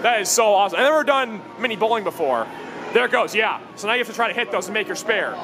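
A young man with a Midwestern American accent, cheering on his friends and commenting excitedly as he vlogs a game in an arcade bowling alley. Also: sits high, quick pace. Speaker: vlogger host. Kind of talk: casual arcade vlog commentary. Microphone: handheld camera. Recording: loud background music, busy arcade.

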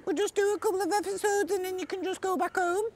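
A man's voice: very high voice, fairly even intonation, fast talker, a little hiss or hum. A man with a British accent putting on a cheeky, childish little boy voice for a comedy prank call. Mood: pleading, playful. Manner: cheeky, giggly, playful. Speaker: prank caller. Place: outdoors on stone steps.

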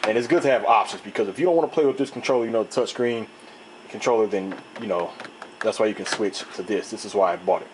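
Rambling man with a slightly raspy voice. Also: fairly low pitch, fast talker, slight background noise, poor audio. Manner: rambling, animated storytelling. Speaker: video host. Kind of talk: casual unboxing talk. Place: indoor room, table top.

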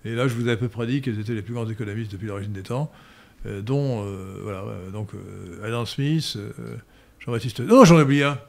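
An older man answering listener questions in a professorial, hesitant manner with frequent pauses and restarts, recorded on a stand mic in a study. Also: fairly low pitch, gravelly, darkish tone. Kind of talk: lecturing Q&A answers. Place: study room indoors. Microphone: studio mic on stand.

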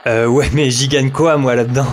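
A man's voice: mid-range voice, steady tone, normal speed, slight background noise, lightly acted. A man playing a lonely, rambling survivor of a zombie apocalypse in an audio drama, hesitant and muttering to himself. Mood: nervous. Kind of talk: audio fiction acting with inner monologue.

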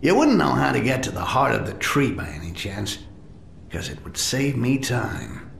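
Gravelly, sardonic goblin thief. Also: male voice, mid-range voice, big pitch swings, normal speed, slight background noise. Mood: sarcastic. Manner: sarcastic, wry, muttering to himself. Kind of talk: video game character acting.

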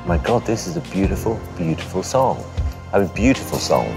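A man giving earnest, deliberate feedback as a talent show judge, repeating words for emphasis. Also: low voice, sing-song, creaky. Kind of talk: earnest spoken feedback to a contestant. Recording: music under a TV talent show.

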